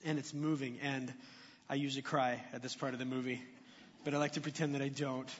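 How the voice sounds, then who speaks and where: A man preaching a sermon in a relaxed, conversational way, joking and asking the congregation questions. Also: mid-range voice, brisk, slight background noise. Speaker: pastor. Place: church sanctuary. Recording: muffled reverberant sanctuary recording.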